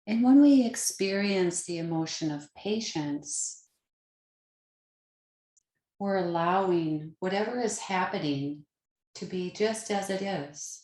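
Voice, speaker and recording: A woman with a fairly low voice guides a meditation in a roomy, echoing space. She speaks very slowly and gently, leaving pauses between short phrases. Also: animated. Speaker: yoga nidra meditation teacher.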